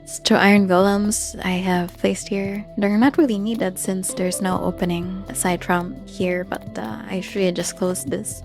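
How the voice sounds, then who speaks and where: A person giving casual gameplay commentary in a hesitant, halting way, often searching for words. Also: medium pitch, little pitch movement, gravelly, a little mellow. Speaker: gamer narrating own Minecraft build tour. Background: background music.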